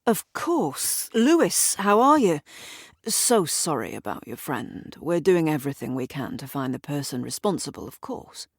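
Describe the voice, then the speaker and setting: A woman's voice: low-pitched, very animated, normal speed, breathy edge, dry recording, clean studio sound, heavily acted. A woman voicing a flustered male police inspector, soothing and official, in an audio drama. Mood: flustered, sympathetic. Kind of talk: audio drama character dialogue.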